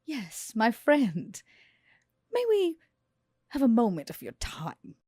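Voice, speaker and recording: A woman voicing a composed, guarded female vampire, speaking slowly and in a measured way, often half-whispered. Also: a little high, wildly swooping pitch, warm tone, slightly rough, no room sound, clean studio sound, pitched above the performer's own voice, heavily acted.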